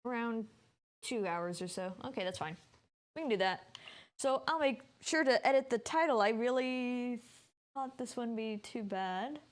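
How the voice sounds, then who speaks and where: A woman's voice: fairly high pitch, measured pace. A woman giving casual game livestream commentary, informal and thinking aloud. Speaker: game streamer. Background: music.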